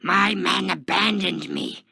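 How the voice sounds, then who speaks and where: A man reading a story, voicing an arrogant, defensive imprisoned supervillain in a gravelly, strained, pompous voice. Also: high voice, slow pace, dry recording, studio quality, voice pushed up, heavily acted. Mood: indignant shouting. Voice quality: gravelly, strained fake-tough voice. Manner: pompous, shouting, emphatic.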